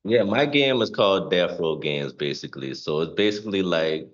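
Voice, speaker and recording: Guest: deep-voiced Gullah Geechee man, laid-back and halting, slightly dull lo-fi sound. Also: animated. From South Carolina. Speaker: guest streamer and cook. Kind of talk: casual interview chat.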